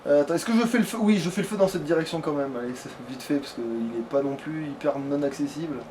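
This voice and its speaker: A man's voice: fairly high pitch, quick pace, a little mellow. A man playing a game on a let's play, chatting casually and thinking aloud in sweary, colloquial speech, often grumbling to himself. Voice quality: foul-mouthed, colloquial speech. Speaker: let's play gamer at the controls. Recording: noisy home recording, clear background noise.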